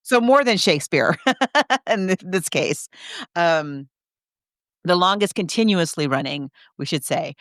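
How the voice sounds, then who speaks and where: A woman with a slightly low, clear voice hosts a casual podcast. She talks in a chatty, emphatic way and thinks aloud as she goes. The sound is close and dry. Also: animated, unhurried, pauses often, a bit bright, no room sound. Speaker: podcast co-host.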